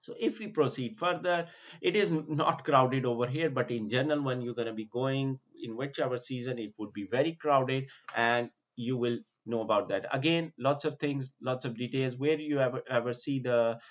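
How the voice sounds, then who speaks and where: A man giving a casual screen-share tour, explaining slowly with many fillers and restarts. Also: mid-range voice, muffled recording. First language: not Arabic. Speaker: vlogger giving a virtual tour.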